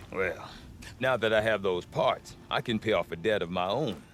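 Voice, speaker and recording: An actor playing a jovial, wry frontier trader in a video game, affable and folksy in manner. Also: male voice, on the low side, slow, a bit bright, slight background noise. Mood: pleased, wry. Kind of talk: video game cutscene acting.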